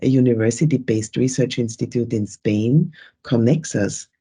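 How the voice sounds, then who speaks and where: A woman's voice: extremely low pitch, moderate pace, mellow, breathy edge. A woman with an Austrian German accent moderating an online event on a video call, upbeat and welcoming as she greets the audience.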